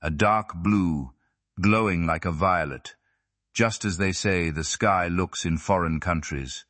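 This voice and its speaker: A man's voice: low-pitched, takes it slowly, a bit bright. A man voicing a mild, dreamy young husband who reminisces in a wistful, rambling way in an audiobook.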